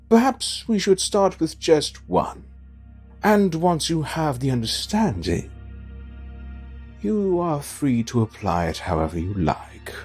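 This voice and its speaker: A man's voice: a little high, a little mellow, husky, exaggerated voice. An actor playing a sly, theatrical immortal man in an audio drama, drawling and teasing. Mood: teasing, coaxing. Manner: languid, teasing, drawn-out.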